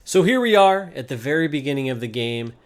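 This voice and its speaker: A man's voice: medium pitch, animated, brisk. A man giving casual, conversational gameplay commentary as the host of a video game series. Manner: informal, conversational. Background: game music.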